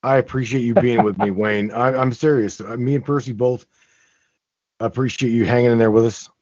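A man's voice: medium pitch, fairly even intonation, fairly quick, a little mellow, dry recording. Earnest host guy stammering, repeating himself, muffled dry audio. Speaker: podcast host. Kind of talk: casual chat. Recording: muffled lo-fi sound.

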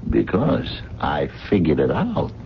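An actor playing a gruff, streetwise working-class man who reasons aloud in slangy talk in a radio mystery. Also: very deep voice, huge pitch swings, takes it slowly, soft background music, full character voice. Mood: dogged, guarded. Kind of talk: radio mystery drama acting. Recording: dull, noisy old radio broadcast.

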